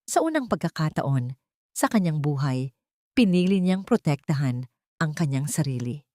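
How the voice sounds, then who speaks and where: A woman reading a scripted dramatic story in a solemn, deliberate, emotive way. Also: lowish voice, a little mellow, no room sound, clean studio sound.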